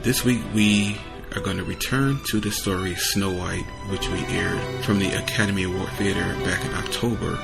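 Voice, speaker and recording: A man's voice: deep voice, steady tone, moderate pace, vocal fry, hardly any pauses, loud music behind the voice, low-quality recording. A man hosting a podcast, giving a casual spoken introduction. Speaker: podcast host.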